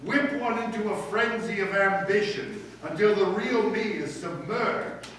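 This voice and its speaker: A man plays a pompous school principal in a radio comedy, laying on florid mock humility in a slightly high voice. He is heard from well back in a very echoey room. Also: a little slow, a bit bright. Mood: pleading, florid. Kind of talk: old-time radio comedy acting before an audience. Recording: echoey room, distant mic, faint noise.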